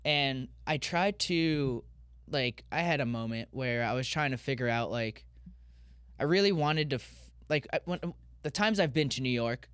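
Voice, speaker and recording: A man in his thirties from Colorado, chatting as a podcast guest in a candid, rambling way, circling back and restarting his sentences. His voice is smooth and clear, sitting at a middle pitch, and it sounds dry, with almost no room around it. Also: expressive, moderate pace, a bit bright, dry recording. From Colorado, USA. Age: 30s. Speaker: guest, pro skateboarder. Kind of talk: casual podcast interview.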